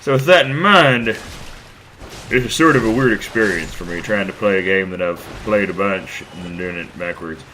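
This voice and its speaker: A man's voice: lowish voice, normal speed, bright, forceful tone, slight background noise, low-quality recording. A man commenting casually on his gameplay, rambling, crude and self-deprecating, a bit stuffed up from a cold. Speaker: let's play host.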